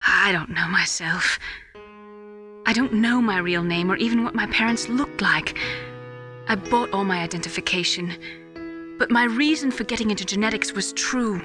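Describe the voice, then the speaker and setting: A woman voicing a secretive, sorrowful geneticist in a video game, halting and confessional. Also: mid-range voice, lightly acted. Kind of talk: dramatic video game acting. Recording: music behind.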